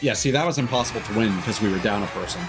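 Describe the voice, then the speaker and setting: A man's voice: on the low side, very fast. A man livestreaming games with casual, rambling, opinionated and sweary commentary. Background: game music.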